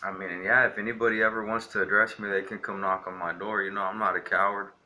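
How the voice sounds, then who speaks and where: A man's voice: on the low side, flat delivery, quick pace, sharp tone, a little hiss or hum. A young man from Texas ranting defiantly to camera, rambling with lots of filler words. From Texas, USA. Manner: rambling, defiant, heavy on "you know" fillers. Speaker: vlogger. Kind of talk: casual ranting monologue to camera.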